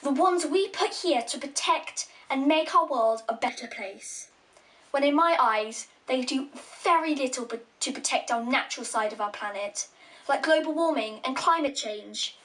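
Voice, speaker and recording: A woman's voice: sits high, moderate pace, fairly bright tone, far from the mic. A twelve-year-old girl from England, earnestly challenging world leaders in a recorded speech.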